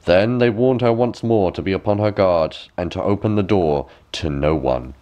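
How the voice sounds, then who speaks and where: A man's voice: low-pitched, moderate pace, dark tone. A man reading a fairy tale as a bedtime story, with dramatic, careful phrasing.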